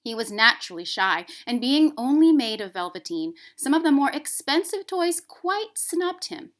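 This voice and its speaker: A woman school librarian reading aloud for young children, with expressive, careful phrasing. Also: high voice, normal speed. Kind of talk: children's storybook read-aloud.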